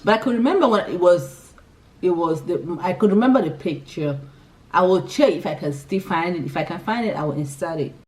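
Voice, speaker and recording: A woman's voice: fairly low pitch, expressive, fairly quick, a little mellow, far from the mic, rough recording. A young Nigerian woman, Igbo by birth, chatting casually through a list of questions for her vlog, giggling and often restarting her sentences. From Nigeria, Imo State. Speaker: vlogger. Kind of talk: casual chat answering a tag list.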